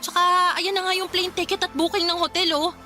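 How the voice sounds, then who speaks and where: A woman's voice: very high voice, little pitch movement, fairly quick, sharp tone. A woman playing an insistent, hurt girlfriend who demands to come first, in a Filipino radio drama. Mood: indignant. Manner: emphatic, indignant, argumentative. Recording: music behind the voice.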